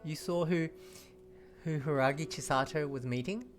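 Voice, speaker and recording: A woman's voice: low-pitched, moderate pace. A woman from Australia giving chatty, informal commentary as she plays through a video game. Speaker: game streamer. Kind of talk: casual reaction commentary over a game. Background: game music.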